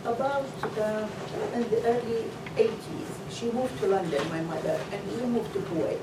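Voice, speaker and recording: A middle-aged woman from Iraq telling her family cooking story on a panel, slowly and with many fillers. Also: medium pitch, animated, background noise. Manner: slow, halting, many fillers. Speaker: food truck co-owner, guest. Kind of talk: panel talk, personal story. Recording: roomy, distant mic, loud ambience.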